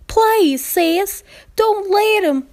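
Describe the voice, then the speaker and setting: A woman's voice: extremely high pitch, animated, extremely slow, pitched above the performer's own voice, full character voice. A woman voicing a young female pony, a pleading little sister, in a cartoon fan story reading.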